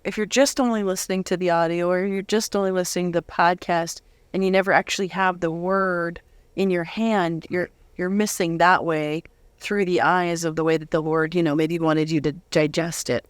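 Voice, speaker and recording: A woman's voice: on the low side, fairly even intonation, brisk, no room sound. A woman interviewing a guest in a casual, chatty and affirming way. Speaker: interviewer, host.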